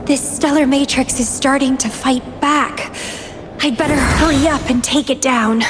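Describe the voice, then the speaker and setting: An enigmatic young female fighter from a video game. Her voice sits high and moves slowly, musing with melancholy obsession. A playful, bloodthirsty menace colors her words, and music plays clearly behind her. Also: fairly even intonation. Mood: uneasy. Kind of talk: scripted video game voice acting.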